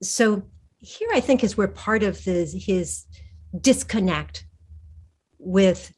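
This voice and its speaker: A woman author in an interview, answering thoughtfully with frequent restarts and pauses. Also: medium pitch, expressive, a little mellow, husky. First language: English. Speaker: historical fiction author, guest.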